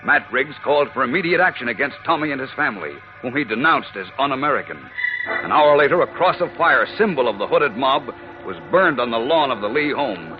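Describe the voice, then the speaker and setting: A man's voice: medium pitch, animated, a little fast. A man narrating a 1940s radio serial in a dramatic, declamatory style. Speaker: announcer and narrator. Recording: dull 1940s radio recording with music.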